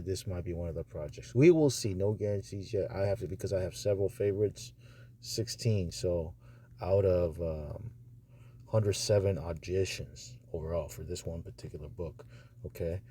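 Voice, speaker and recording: A man's voice: deep voice, mellow. A man giving casual commentary over a screen recording, thinking aloud in a hesitant mutter. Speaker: audiobook publisher reviewing narrator auditions. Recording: noisy phone screen recording.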